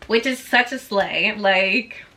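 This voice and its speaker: A woman's voice: medium pitch, slow, sharp tone, low-quality recording. A woman of about twenty whose first language is Swedish, chatting bubbly and casual through a Q&A with her viewers. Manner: bubbly, chatty, heavy use of "like". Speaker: vlogger.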